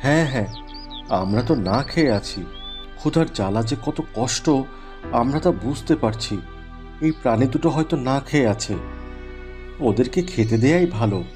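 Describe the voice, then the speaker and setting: A kind-hearted, helpful husband from a cartoon fable played by a man. His mellow, slightly high voice swings with lively expression over faint music. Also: moderate pace, pauses often, lightly acted. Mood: gentle, sympathetic. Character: kind-hearted poor tailor and helpful father mouse. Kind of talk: animated cartoon acting.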